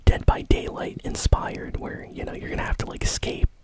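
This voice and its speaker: A man whispering casual gaming news as an ASMR host, breathy and informal, rambling a little. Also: moderate pace.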